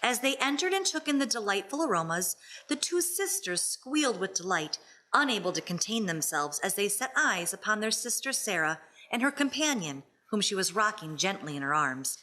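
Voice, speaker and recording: A woman acting as an expressive storyteller, narrating a radio play dramatically. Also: medium pitch, moderate pace. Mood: vivid, relishing.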